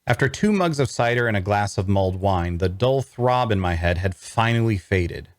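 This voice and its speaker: A man voicing a brooding, watchful fantasy hero who narrates his own story in a measured storytelling manner. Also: fairly low pitch, animated, dry recording, studio quality, close to an everyday voice. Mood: wary, reflective. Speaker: voice actor reading narrator. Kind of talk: first-person audiobook-style narration at a table read.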